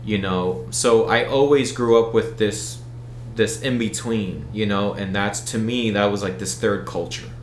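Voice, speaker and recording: A man's voice: lowish voice, unhurried, hardly any pauses, reverberant, a little hiss or hum. A man with an American accent, talking casually as an interview guest and circling back through his sentences. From United States. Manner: rambling, many restarts and fillers. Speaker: event organizer, guest.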